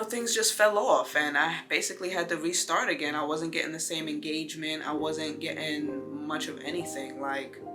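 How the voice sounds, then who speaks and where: A woman in her late twenties, a vlogger talking casually to her viewers in a rambling, heartfelt way with lots of fillers. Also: low voice. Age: 20s. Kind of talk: casual talk to camera.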